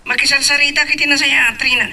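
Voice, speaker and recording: A woman's voice: high-pitched, steady tone, quick pace, sharp tone, full character voice. A woman voicing an insistent, menacing ex-lover in an Ilocano drama. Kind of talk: Ilocano radio-style drama acting. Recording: distant, off-mic sound.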